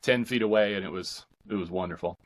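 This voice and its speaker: An American man in his forties chatting casually as an interview guest, thinking aloud in a halting, enthusiastic way. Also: deep voice. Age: 40s.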